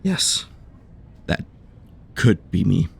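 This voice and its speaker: A man playing an earnest, polite superhero in a radio play, with a slightly hesitant manner. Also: drawn-out words. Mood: exasperated.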